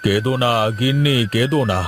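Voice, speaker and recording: Cartoon father, man acting: sorrowful, authoritative yet gentle, earnest, mid-pitched, clear music bed. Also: brisk, close to an everyday voice. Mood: consoling. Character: sorrowful wealthy father and master, gently commanding.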